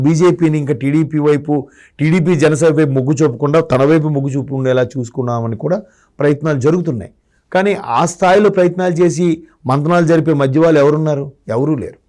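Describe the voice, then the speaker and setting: A man's voice: a little high, mellow. Man arguing politics. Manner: argumentative, asks rhetorical questions. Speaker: political commentator. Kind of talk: political discussion.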